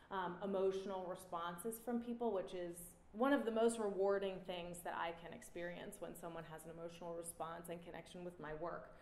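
Artist in her 30s, low-pitched, reflective, pausing often; roomy gallery, faint hiss. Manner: relaxed, reflective, many "um" pauses. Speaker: artist giving a talk. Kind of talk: presenting her artwork with slides. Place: indoor gallery room before an audience. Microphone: standing mic on a stand.

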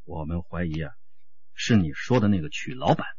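Husky, animated police detective. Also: male voice, sits low, moderate pace, no echo, muffled recording, full character voice. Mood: persuasive, urgent. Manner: questioning, emphatic. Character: probing, assured male police detective. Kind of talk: radio drama acting.

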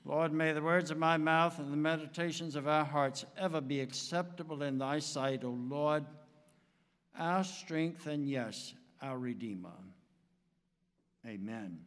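Slow, high-voiced elderly American preacher. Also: male voice, a bit bright. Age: 70s. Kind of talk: sermon with anecdotes. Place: church sanctuary, speaking from the pulpit. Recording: reverberant church hall, long echo.

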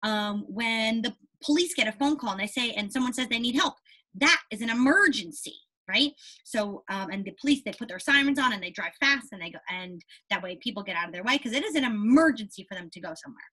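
A young woman speaks as a primary school teacher leading a read-aloud. Her voice sits a little high with a husky, unpolished edge. She is expressive and emphatic, repeating key words and checking in with her young listeners. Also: a little fast, poor audio. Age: young adult. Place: small indoor room with a whiteboard.

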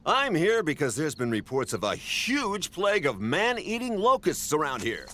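A man's voice: on the high side, huge pitch swings, moderate pace, bright, forceful tone, a little hiss or hum, exaggerated voice. A man voicing a brave, boastful and irritable cartoon dog reporter who speaks in pompous, emphatic news-report style. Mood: self-important.

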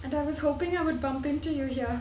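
A spirited, teasing young village woman with a very high voice, played by an actress on stage. The sound is distant and echoey, with some noise. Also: rapid-fire, natural voice. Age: young adult. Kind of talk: projected stage acting. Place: theatre stage before an audience. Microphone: far camera.

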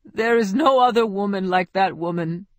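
A woman's voice: medium pitch, normal speed, no room sound, clean studio sound, close to an everyday voice. Woman voicing a heartbroken lamenting painter. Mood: mournful. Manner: doleful, lamenting. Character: heartbroken male painter, mournful and self-pitying.

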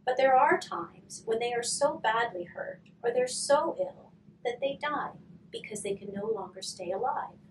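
A woman in her thirties, a hospice volunteer, gently reading a storybook to children and then explaining a craft, her voice often dropping to a whisper. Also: a little high. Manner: soft, slow and gentle, often trailing to a whisper. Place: curtained room, indoors. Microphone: far camera. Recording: distant mic with faint room noise.

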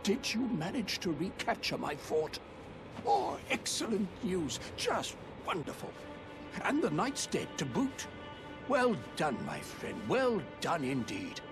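An actor playing a pompous, self-important noble lord with a grand, theatrical manner, in a game cutscene. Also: male voice, high-pitched, very animated, slow, exaggerated voice. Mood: pleased. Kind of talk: video game voice acting. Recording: game cutscene with loud music.

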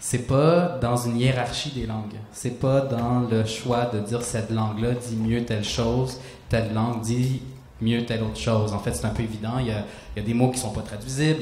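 A native Spanish-speaking man in his thirties thinks aloud on a panel, often restarting his phrases as he hunts for the right word. His voice sits at an ordinary middle pitch. The handheld mic picks up the roomy echo of a small hall and a faint hiss. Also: darkish tone. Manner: searching, restarts phrases, gestures while explaining. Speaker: author on a panel. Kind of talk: reflective panel talk. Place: small hall stage with audience.

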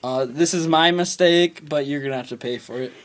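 A young American man talking casually and haltingly, as on an improvised prank phone call, recorded on a camera phone in a small room. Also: fairly high pitch. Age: teens or young adult. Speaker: prank caller. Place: small room at a desk, indoors. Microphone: camera phone at arm's length. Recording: noisy amateur phone video with clicks.

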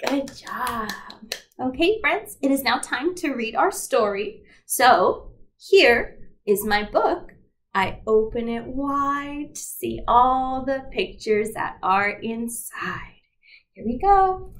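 Young female library storytime host, high and unhurried, expressive, encouraging delivery for very small children. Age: young adult. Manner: bright-eyed, encouraging, sing-song and expressive. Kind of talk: toddler storytime with songs and rhymes. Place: indoors in front of a decorated backdrop.

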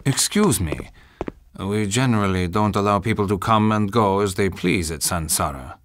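An actor playing a menacing, sarcastic villain in a spy game, mock-polite and condescending. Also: male voice, fairly low pitch, normal speed, noisy background, lightly acted. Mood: cold sarcasm. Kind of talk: dramatic game cutscene acting. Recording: loud music under the dialogue.

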